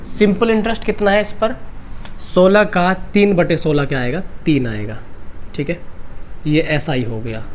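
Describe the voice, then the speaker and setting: A man teaching an exam-prep math lesson, with a slightly raised voice and a sore throat. He walks through each step slowly and answers his own questions. Faint noise hums under the recording. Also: a little high. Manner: repeats key steps, asks and answers his own questions. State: sore throat, clears throat and coughs.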